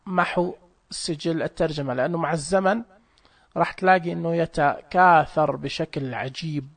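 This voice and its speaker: A man walks through a phone app step by step in a casual, chatty way, pausing and restarting as he explains. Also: a little high, creaky. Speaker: app tutorial presenter. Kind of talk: casual screen-recorded app walkthrough.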